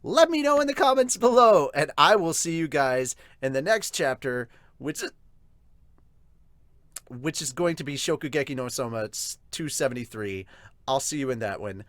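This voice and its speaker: A man's voice: a little high, moderate pace, dry recording. Giggly man reacting to fan comments, close dry sound. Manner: excitable, giggly, sweary. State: hiccuping. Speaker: host of a manga review show.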